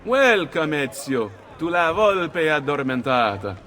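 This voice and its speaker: An actor playing a shrewd, cordial Italian thieves' guild master in a video game, measured and sly. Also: male voice, on the high side, very animated, music in the background. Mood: welcoming. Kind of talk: video game cutscene acting.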